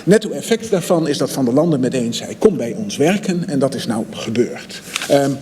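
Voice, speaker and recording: A man who is a thesis supervisor giving a measured graduation ceremony speech. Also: mid-range voice, animated, mellow, a little hiss or hum. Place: ceremony hall. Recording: reverberant hall with faint ambience.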